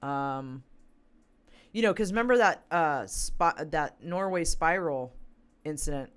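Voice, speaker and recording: Brief: woman co-host, hoarse from a long talk, casual and rambling, fillers and throat clearing. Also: low-pitched, lively intonation, takes it slowly. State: hoarse, losing voice. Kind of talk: casual chat, reading news aloud.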